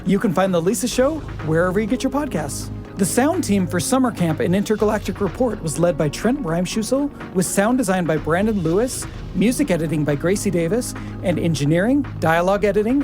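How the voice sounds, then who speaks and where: A woman's voice: fairly low pitch, lively intonation, fairly quick, few pauses, over music. A woman co-hosting a podcast, moving between conversational chat and scripted narration. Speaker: podcast host.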